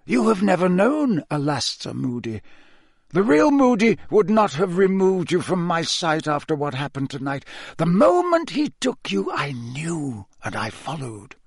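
A man voicing a powerful old wizard headmaster in an audiobook, grave, measured and curtly authoritative. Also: a little high, sing-song, husky, dry recording, clean studio sound, voice pushed up, heavily acted. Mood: quiet, grave.

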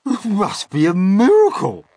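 Brief: bossy, greedy aunt for children's story, scornful bluster, hugely varied pitch, husky edge played by a man. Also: huge pitch swings, unhurried, warm tone, dry recording, heavily acted. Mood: awed. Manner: blustering, exclamatory. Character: greedy, scoffing, bossy middle-aged aunt, a woman. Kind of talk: dramatic children's storybook character reading.

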